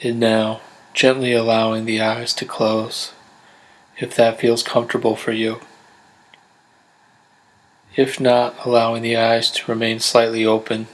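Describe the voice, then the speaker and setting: A man guiding a body scan meditation in a gentle, unhurried, measured way, leaving pauses between instructions. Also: mid-range voice, completely flat delivery, low-quality recording. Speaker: meditation guide. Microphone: close USB condenser microphone.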